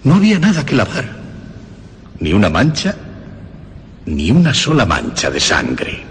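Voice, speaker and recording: Radio horror narrator-killer, nervous and obsessive, dramatically animated, drenched in long hall reverb with slight noise. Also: male voice, medium pitch. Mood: manic pride. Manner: dramatic, halting phrases swinging from hushed to frantic. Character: nervous, obsessive mad murderer telling his crime.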